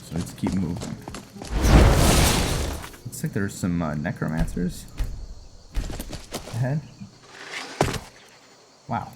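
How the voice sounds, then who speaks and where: A man's voice: lowish voice, big pitch swings, slow, vocal fry, long pauses, heavy background noise. A man giving casual gameplay commentary, musing and hesitant as he thinks aloud. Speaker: gamer commentating a roleplay playthrough. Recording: loud music over voice. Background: loud game music.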